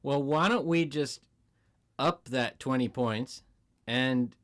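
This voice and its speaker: A man's voice: mid-range voice, slow. A man playing as a quiz show contestant, chatting casually with wry jokes. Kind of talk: casual joking banter.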